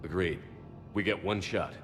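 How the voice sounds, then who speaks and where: A man playing a decisive, steady military commander in a sci-fi video game, curt and businesslike. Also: low-pitched, normal speed, faint music behind, natural voice. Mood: calm, businesslike.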